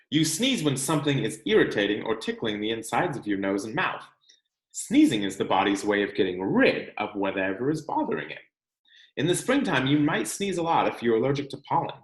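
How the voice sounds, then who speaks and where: Animated American man reading aloud, echoey room. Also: mid-range voice. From California, United States. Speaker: read-aloud host, teacher reading to children. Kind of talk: children's picture book read-aloud with casual asides.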